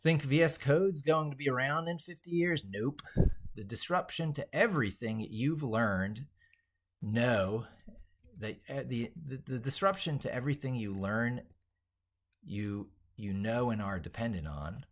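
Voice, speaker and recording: A man's voice: mid-range voice, measured pace. A man delivering an opinionated tech monologue in an emphatic, declarative way, reworking and repeating his phrases as he drafts them aloud. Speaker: tech educator presenter.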